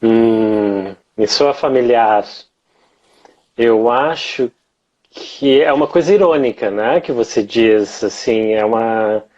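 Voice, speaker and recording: Mellow-voiced Brazilian man, lo-fi call. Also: medium pitch, a little slow. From southern Brazil. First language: Portuguese. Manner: friendly, easygoing, with fillers and restarts. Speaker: Portuguese teacher, co-host. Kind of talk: casual live-stream chat. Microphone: webcam or phone on a video call.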